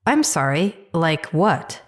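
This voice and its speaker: A woman's voice: low-pitched, very animated, slow, heavily acted. A woman playing a harried, doubtful middle-aged scientist and mother in an audio drama, guarded and clipped in manner. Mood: puzzled, doubtful.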